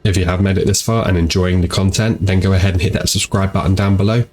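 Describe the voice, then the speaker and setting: A man's voice: low-pitched, steady tone, fast talker, darkish tone. A tired man with a blocked-up nose from a cold, chatting in an easygoing, rambling way as he hosts a gaming channel into a home microphone. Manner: easygoing and rambling, repeats "go ahead". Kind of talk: casual commentary over gameplay. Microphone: home desk microphone.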